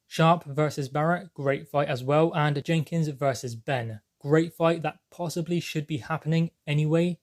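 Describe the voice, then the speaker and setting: Male sports vlogger, slightly high voice, emphatic explainer delivering news commentary. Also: a little mellow. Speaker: boxing news channel presenter.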